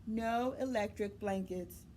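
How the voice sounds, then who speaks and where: Woman voicing an exasperated, slow-spoken storybook farmer. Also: medium pitch, dark tone, slight background noise, close to an everyday voice. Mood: indignant. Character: exasperated, stubborn male farmer in a children's storybook.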